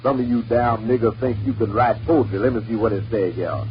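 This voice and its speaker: A man's voice: mid-range voice, fairly quick, lo-fi sound, natural voice. A man performing a comic mock sermon as an exasperated, foul-mouthed, folksy preacher scolding his stingy flock. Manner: folksy, bombastic, cursing pulpit delivery. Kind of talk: mock sermon comedy monologue. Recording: noisy band-limited old 78rpm record.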